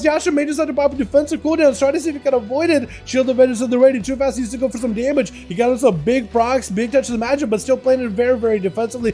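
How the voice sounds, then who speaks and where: Excitable high-voiced man with slight Russian accent. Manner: fast, excitable play-by-play that turns to loose rambling chat. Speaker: streamer and game commentator. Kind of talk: excited live game commentary mixed with casual chat.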